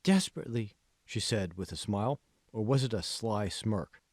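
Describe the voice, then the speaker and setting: A man's voice: on the low side, normal speed, no echo, clean studio sound. A man reading scripted audiobook narration of a novel. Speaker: author reading own audiobook.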